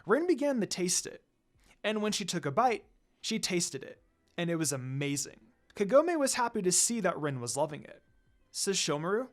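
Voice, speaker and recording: A narrator reads a scripted fan fiction story aloud. The voice sits in a middle register and moves widely in pitch from phrase to phrase.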